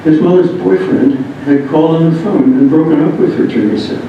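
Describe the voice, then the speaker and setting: A man reads a written first-person memoir aloud, halting at times. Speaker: reader of a memoir. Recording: muffled, very echoey room, distant mic, rumbling noise.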